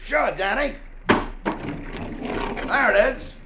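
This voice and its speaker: Scared pleading sailor, super high sharp voice, muffled old radio drama. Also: male voice, extremely slow, full character voice. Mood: pleading, terrified. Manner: urgent, pleading. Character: McGraw, pleading shipmate, frightened and bargaining for his life. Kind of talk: 1940s-50s radio drama acting. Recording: muffled, faintly noisy vintage radio.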